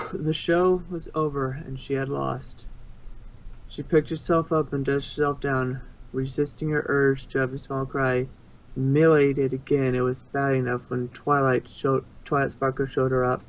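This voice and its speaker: A man's voice: medium pitch, level tone, a little slow, low-quality recording. Male story narrator, middle register, unpolished read with stumbles and retakes. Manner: stumbles and rereads phrases. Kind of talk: amateur story reading.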